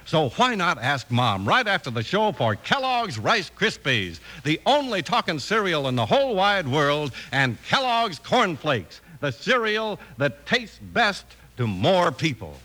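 A man's voice: on the high side, big pitch swings, unhurried, hardly any pauses, bright, forceful tone. A man announcing and narrating in 1950s radio style, with a hearty, folksy salesman delivery.

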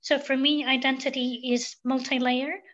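A high-pitched woman from Sweden speaks as a podcast guest, choosing her words with care and pausing often on fillers. The sound is somewhat dull and lo-fi. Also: flat delivery, a bit bright. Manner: thoughtful, many um fillers. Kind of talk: reflective interview talk.

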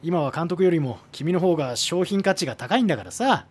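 An actress playing a weary film production colleague in a naturalistic Japanese radio drama, explaining things patiently and a little hesitantly. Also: female voice, on the low side, expressive, fairly quick, darkish tone, slightly rough. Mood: exasperated, explaining.

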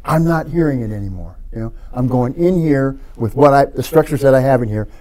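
A man as a podcast guest, talking thoughtfully in a halting way, restarting his sentences often. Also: medium pitch, expressive, dark tone. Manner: reflective, halting, many restarts and fillers. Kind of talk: casual podcast conversation.

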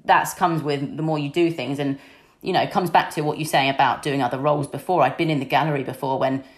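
Deep husky-voiced young woman. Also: fairly even intonation, quick pace. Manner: chatty and candid, many fillers like "you know". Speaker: sports news presenter, podcast guest. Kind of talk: casual interview chat.

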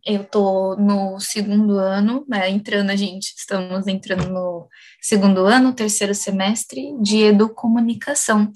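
A woman in her twenties, a university student, speaking reflectively about her studies with thoughtful pauses. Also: medium pitch, little pitch movement, darkish tone. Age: 20s. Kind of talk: testimonial interview.

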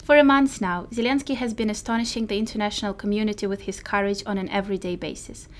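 A woman's voice: mid-range voice, steady tone, quick pace. A woman from Ukraine co-hosting a podcast, speaking in a scripted narration style. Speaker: podcast co-host.

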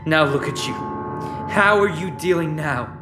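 A man's voice: on the high side, takes it slowly. A male actor playing an angry, desperate teenage boy, distraught and demanding, in a sci-fi audio drama. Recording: echoing room, loud music behind.

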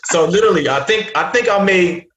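A man's voice: high voice, a little fast, fairly bright tone, roomy sound. A man in his thirties from South Sudan, whose first language is Arabic, chatting casually as an interview guest with many fillers and restarts. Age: 30s. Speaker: guest investor.

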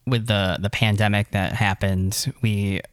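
An easygoing man with a fairly low voice, co-hosting a relaxed chat. He leans in with short agreements and quick asides. The sound is close and dry. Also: no echo. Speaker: podcast co-host. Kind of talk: casual chat.

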